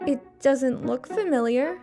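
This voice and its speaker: An actress playing a loyal, firm young college student in an audio drama, protective and emphatic. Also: female voice, sits high, measured pace, over music. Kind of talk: scripted audio drama acting.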